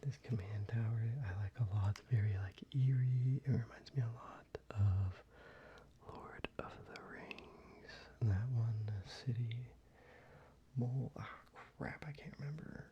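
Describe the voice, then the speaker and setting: A young man talking softly in a half whisper, casual and rambling, as an ASMR creator. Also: medium pitch, measured pace. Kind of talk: soft-spoken ASMR show-and-tell. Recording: slightly muffled, with faint ambient noise.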